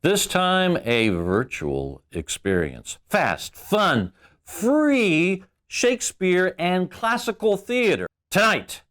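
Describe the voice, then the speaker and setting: A man narrating as a theatrical storyteller, playful, with dramatic pauses and comic asides. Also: sits high, sing-song, fairly bright tone. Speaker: narrator introducing the play. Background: music.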